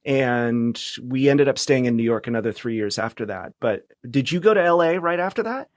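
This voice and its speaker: A middle-aged American man chatting casually as a podcast guest, reminiscing in a halting way. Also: mid-range voice, animated, no echo, studio quality.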